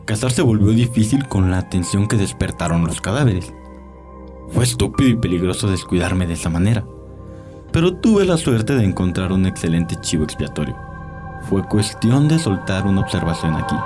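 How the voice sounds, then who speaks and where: Casting: male actor for arrogant old vampire doctor, low expressive voice, savoring dark stories over prominent music. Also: moderate pace. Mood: smug, amused. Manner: theatrical, leisurely, savoring storytelling. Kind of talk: audio drama character acting. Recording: music behind the voice.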